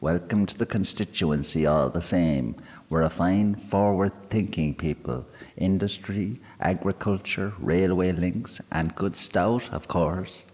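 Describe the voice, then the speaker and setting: A man's voice: deep voice, a little slow, darkish tone, pitched above the performer's own voice. A man voicing a proud, boastful politician in his forties, holding forth in a pub. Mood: hearty. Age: 40s. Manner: pompous, boastful, self-satisfied. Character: proud middle-aged male politician, barrister. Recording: long hall reverb.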